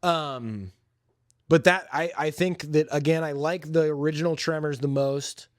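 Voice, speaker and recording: A man's voice: on the high side, measured pace, dry recording. Man casually reviewing movies, very dry sound. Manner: informal, opinionated, enthusiastic slangy talk. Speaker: podcast host.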